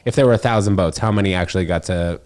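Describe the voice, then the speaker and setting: A man's voice: low-pitched, very fast, a little mellow. A young man from New York hosting a casual podcast, asking curious, laid-back questions. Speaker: podcast host.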